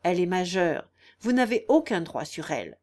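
A woman voicing a male village priest in a story, fair-minded and speaking with firm, measured authority. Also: on the low side, sing-song, darkish tone. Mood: firm defence.

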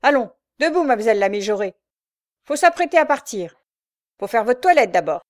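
A woman voicing a harsh, coarse old beggar mother from a story, shrill and snarling in her lower-class speech. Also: expressive, normal speed, a little mellow, dry recording, clean studio sound, natural voice. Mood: bullying. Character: shrewish old beggar mother, toothless, harsh, brandy-soaked.

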